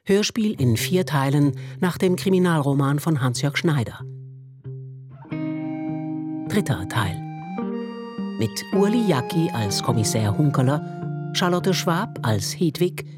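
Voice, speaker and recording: Woman announcer, low voice, slow measured delivery, scripted credits, prominent guitar music behind. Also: expressive. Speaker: radio drama announcer.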